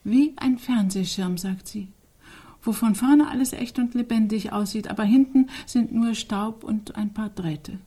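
Mellow, husky, musing observer. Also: female voice, medium pitch, measured pace. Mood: eerie musing. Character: musing female observer of photos, coolly fascinated. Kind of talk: German radio play, stylised dialogue.

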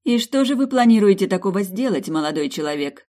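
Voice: medium pitch, animated, a little fast, dark tone, pitched above the performer's own voice, close to an everyday voice. A narrator voicing a self-assured, teasing dragoness queen in a storybook, with a playful, commanding manner. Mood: amused, regal.

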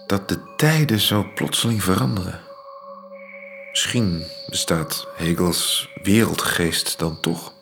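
Tipsy, musing astronomer, swooping pitch. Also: male voice, creaky, a bit bright, full character voice. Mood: wistful, musing. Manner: halting, rambling, thinking aloud. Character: drunk male astronomer, brooding and musing aloud. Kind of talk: radio drama monologue.

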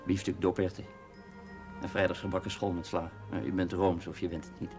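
Man acting a curt mine engineer, lowish voice, clipped, wry, seventies TV drama dialogue. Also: little pitch movement, brisk, music in the background, lightly acted. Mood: tender, wistful. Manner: clipped, dry, matter-of-fact. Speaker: mining engineer. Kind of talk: naturalistic 1970s TV drama acting.

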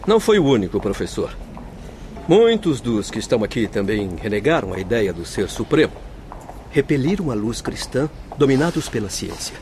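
Guy acting a gentle formal doctor in a radio play, reassuring, animated pitch, bit of noise. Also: moderate pace, lightly acted. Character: kindly, humble male doctor in spirit world.

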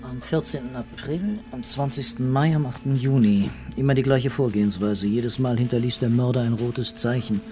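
An actor playing a middle-aged, dreamy and brooding police commissioner in a radio crime drama, talking calmly and deliberately. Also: male voice, medium pitch, close to an everyday voice. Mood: matter-of-fact, musing. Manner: musing, calm, deliberate.

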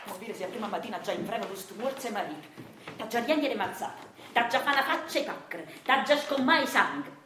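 A woman's voice: fairly high pitch, animated, a little fast, pushed and bright, reverberant, slight background noise. An actress playing a fierce, domineering washerwoman matriarch with a Neapolitan accent, brash and mocking in a theatrical radio drama monologue. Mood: scornful, threatening. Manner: brash, scolding, mocking, breaking into laughter.

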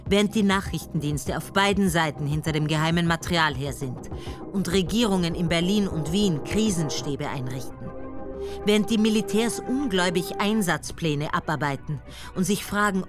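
A woman narrating a radio drama in a measured, serious way. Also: fairly low pitch, animated, music in the background. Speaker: narrator and investigator character.

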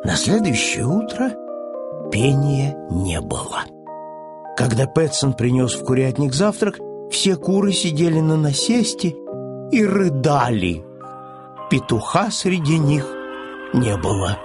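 A man's voice: mid-range voice, big pitch swings, takes it slowly, long pauses, over music. A man reading a children's story audiobook as its narrator.

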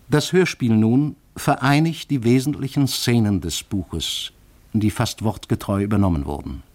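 Low-voiced male presenter, formal and scholarly. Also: darkish tone. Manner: formal, measured, scholarly phrasing. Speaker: radio drama presenter. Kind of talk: scripted literary introduction.

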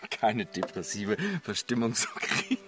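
An actor playing a sardonic, hard-drinking husband in a radio drama, talking in a mocking, wry way. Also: male voice, on the low side, sing-song, measured pace, noisy background. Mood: mocking amusement. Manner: mocking, wry, drawn to dry remarks. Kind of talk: naturalistic German radio drama acting.

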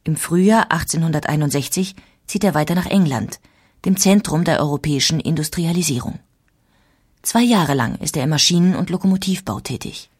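A woman narrating a historical audiobook in a measured, formal way. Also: sits low, darkish tone, slightly rough. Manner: measured, formal documentary delivery.

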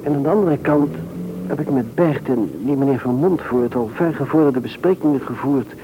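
An actor playing a gentle, hesitant, sensitive fiancé in an old Dutch radio play, speaking softly. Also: male voice, medium pitch, normal speed, phone-quality sound, noisy background, lightly acted. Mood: thoughtful. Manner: hesitant, soft-spoken.

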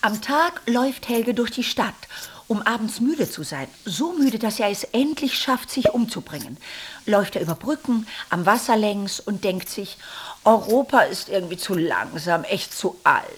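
An actress playing a dry, wry storyteller, narrating a literary radio drama in a detached way. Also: female voice, mid-range voice, expressive, moderate pace, husky. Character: dry female storyteller observing unhappy friends.

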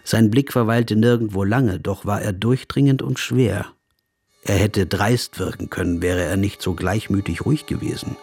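Radio play narrator, low-ish voice, calm literary storytelling, reflective, faint music behind. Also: male voice, moderate pace, husky. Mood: measured, reflective. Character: observant, composed gentleman officer telling his travel memories.

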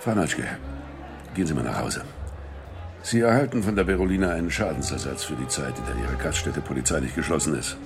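Deep, gravelly, suave crime boss. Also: male voice, animated, a little fast, exaggerated voice. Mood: condescending. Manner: polite, condescending. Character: suave, menacing male gangland boss styled as Doctor. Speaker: actor in a radio drama. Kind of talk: German radio drama acting. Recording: music behind the voice.

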